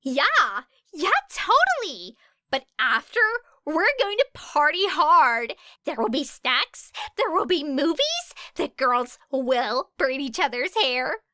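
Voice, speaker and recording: Woman voicing a bubbly, high-pitched excitable teen girl. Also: slow, no echo, clean studio sound, heavily acted. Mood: eager. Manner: exclaiming, gushing.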